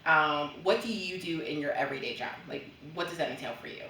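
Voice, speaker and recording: A woman's voice: low-pitched, fairly quick, pushed and bright, a little hiss or hum. A woman hosting a casual interview, upbeat and chatty, with frequent short affirmations. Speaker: host. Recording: echoey room from a distance.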